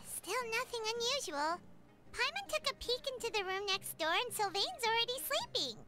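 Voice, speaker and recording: A woman voicing a tiny, chirpy, non-human female fairy companion who talks about herself by name, with a squeaky, childlike voice. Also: lively intonation, moderate pace, over music. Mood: curious.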